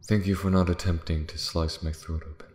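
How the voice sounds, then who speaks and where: A man voicing a proud, lonely dragon in an ASMR fantasy roleplay, speaking formally and musingly with dramatic pauses and hushed drops. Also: steady tone, reverberant, natural voice. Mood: hesitant, resigned. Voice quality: very deep, creaky. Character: lonely, proud male dragon, last survivor, wistful.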